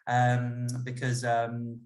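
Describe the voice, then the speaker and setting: A man speaking for an ocean campaign group, measured and full of fillers. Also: mid-range voice, completely flat delivery, darkish tone, low-quality recording. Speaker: campaign spokesperson. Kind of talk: casual livestream talk.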